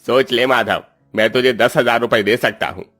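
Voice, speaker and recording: A man's voice: mid-range voice, normal speed, fairly bright tone, slightly rough, faint music behind. A man voicing a greedy, cruel middle-aged moneylender in a cartoon story, with a harsh, scolding, scheming way of talking.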